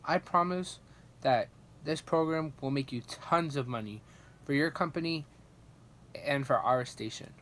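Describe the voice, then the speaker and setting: A man playing a bossy, smooth-talking station boss in a 1940s radio comedy. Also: fairly high pitch, unhurried, long pauses, fairly bright tone, slight background noise. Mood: pleading, reassuring.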